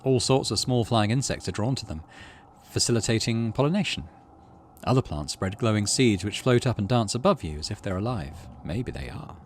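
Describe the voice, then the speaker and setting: Low male voice, animated intonation, game host, story reading with casual asides, light background noise. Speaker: gaming video host. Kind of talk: reading visual novel text aloud with casual commentary.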